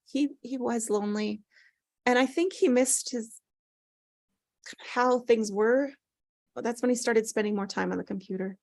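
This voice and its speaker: An actress plays an older mother in grief, recorded dry and close. She is earnest and compassionate, and she speaks haltingly, feeling for each word in a scripted drama. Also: fairly high pitch, dry recording. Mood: wistful, halting. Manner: halting, searching for words. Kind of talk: scripted drama table read.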